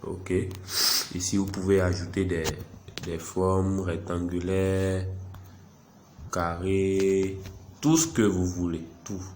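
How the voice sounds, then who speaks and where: A man with a stuffy head cold giving an unhurried, self-deprecating walkthrough of an app, narrating each click. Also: deep voice, flat delivery, mellow, a little hiss or hum. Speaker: software tutorial presenter. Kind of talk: screen-recorded app tutorial.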